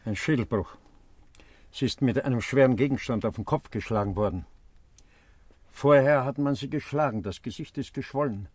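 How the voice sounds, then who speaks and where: An actor playing a detached police doctor in a radio crime drama, stating findings precisely. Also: male voice, mid-range voice, normal speed, breathy edge, no echo, lightly acted. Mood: clinical. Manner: precise, factual.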